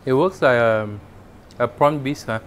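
A man's voice: mid-range voice, a little hiss or hum. A man in his thirties, a chef, chatting easily with diners at their table. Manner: easygoing, quick affirmations. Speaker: restaurant chef. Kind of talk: casual conversation at the table. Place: restaurant dining room.

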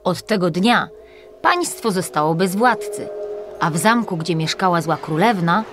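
A woman's voice: lowish voice, animated, a little slow, music in the background. A woman reading scripted fairy-tale narration.

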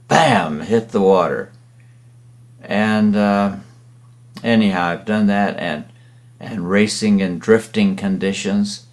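An older man, a painter and sailor, casually reminiscing as he narrates a slideshow in a rambling way. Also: low voice. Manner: rambling, with fillers and restarts.

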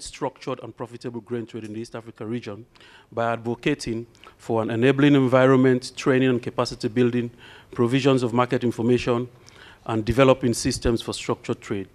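A man's voice: mid-range voice, a little mellow. A man moderating a conference panel, formally reading introductions from notes at a podium with a handheld microphone. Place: conference hall.

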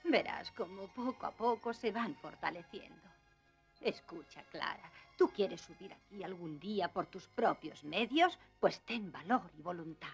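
Old cartoon grandmother, creaky voice, lively intonation, gentle, encouraging, affectionate. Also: mid-range voice, unhurried, soft background music. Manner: reassuring, affectionate. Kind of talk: dubbed children's cartoon acting.

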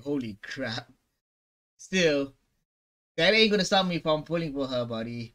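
High, bright-voiced young man, casual. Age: young adult. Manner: animated, slangy exclamations. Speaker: gaming reaction video host. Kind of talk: casual reaction commentary. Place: small room indoors at home. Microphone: gaming headset.

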